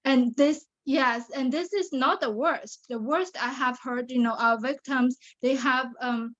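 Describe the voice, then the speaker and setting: A woman's voice: high-pitched, measured pace, fairly bright tone, highs cut off. Chinese-accented woman, earnest guest. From China. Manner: earnest, many fillers and restarts. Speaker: guest, survivor advocate. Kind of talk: interview on a video show.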